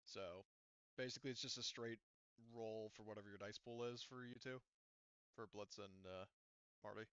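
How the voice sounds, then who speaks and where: A man acting as game master in casual tabletop play, haltingly thinking aloud as he explains rules. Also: lowish voice, fairly even intonation, fairly bright tone, clean tone, no room sound, muffled recording.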